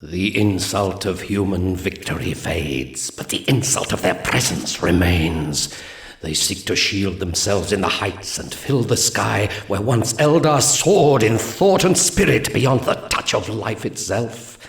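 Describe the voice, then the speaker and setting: A man's voice: on the low side, measured pace, gravelly, roomy sound. An actor playing a solemn ancient male alien seer who gives battle briefings in a grave, portentous voice. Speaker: mission briefing narrator. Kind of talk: solemn game cutscene narration.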